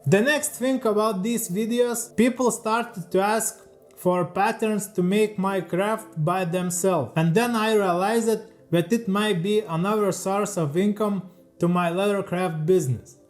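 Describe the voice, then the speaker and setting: A man with a fairly high voice and a Lithuanian accent reads a prepared voice-over off a teleprompter. His English is careful and a little halting, the speech of a second-language speaker. Soft music plays faintly underneath. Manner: careful, slightly halting non-native English. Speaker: leathercraft YouTuber. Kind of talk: scripted voice-over read from a teleprompter.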